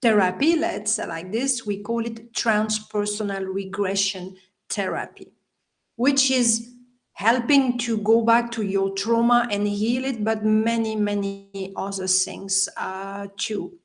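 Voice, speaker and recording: A woman's voice: mid-range voice, fairly even intonation, takes it slowly. A woman from Belgium with a Belgian French accent, a native French speaker, chatting as a podcast guest over a video call, laughing often and phrasing things in a non-native way. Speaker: podcast guest, healer and life coach. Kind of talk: casual interview conversation.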